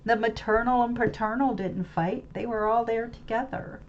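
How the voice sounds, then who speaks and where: A woman's voice: a little high, fairly even intonation, moderate pace, slight background noise. American woman host, rambling live-stream monologue, hunts for words, faint background ambience. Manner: rambling, searches for words, many restarts and fillers. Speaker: webcam channel host. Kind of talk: unscripted musing monologue about a book. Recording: slightly dull lo-fi audio with faint ambience.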